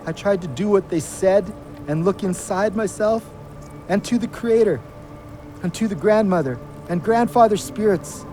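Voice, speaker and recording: A man's voice: high voice, normal speed, warm tone, a little hiss or hum, close to an everyday voice. An actor playing a troubled, defensive young man just out of jail, in a naturalistic audio drama. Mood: scared, despairing. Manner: bitter, sarcastic, coarse.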